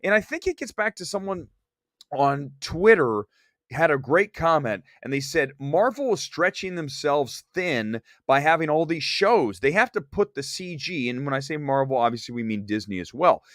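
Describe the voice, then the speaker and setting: A man's voice: a little high, sing-song, moderate pace, a bit bright, no room sound, studio quality. Man hosting movie talk, slight cold. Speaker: movie talk show host. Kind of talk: opinionated film review reading viewer comments.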